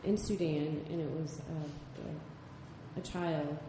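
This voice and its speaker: A soft-spoken woman photojournalist answering a panel question, hesitant and full of ums. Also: sits low, fairly even intonation, slow pace. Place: gallery event space. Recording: echoey room, far mic, background noise.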